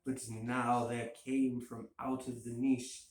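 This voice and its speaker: A man's voice: medium pitch, flat delivery, slow, a little mellow. A man giving an amateur dramatic reading of a gothic horror story. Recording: roomy echo, faint hiss.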